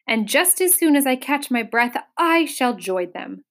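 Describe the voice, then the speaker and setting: Storybook bug, male, pompous glutton, wheezy and high female reader performing. Flowery, overstuffed, self-important. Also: lively intonation, measured pace. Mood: breathless. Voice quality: wheezy, gasping. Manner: pompous, wordy.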